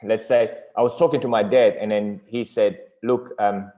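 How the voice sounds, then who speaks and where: A man, answering interview questions in halting, English and searching for words. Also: fairly low pitch, fairly even intonation, dark tone. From Iran until age six, then Germany. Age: 30s. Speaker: company owner being interviewed.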